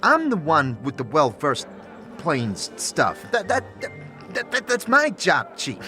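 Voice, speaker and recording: An actor playing a wisecracking floating skull companion who talks in streetwise slang. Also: male voice, a little high, huge pitch swings, measured pace, bright, forceful tone, faint music behind, full character voice. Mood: jealous. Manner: gruff, jealous, streetwise slang.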